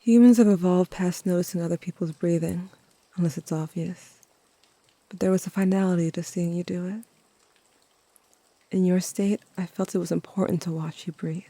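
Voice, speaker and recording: A woman's voice: fairly low pitch, warm tone, no room sound. A woman playing a tender, wry, grieving teenager who narrates an audio drama in a reflective, confiding way. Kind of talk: intimate first-person audio drama narration.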